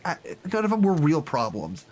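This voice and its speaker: An actor playing an earnest, uneasy staffer at a secret research site in a naturalistic audio drama, halting and restarting as he talks. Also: on the high side, wildly swooping pitch, a little mellow, slight background noise. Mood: troubled, frustrated. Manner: halting, hesitant, trailing and restarting.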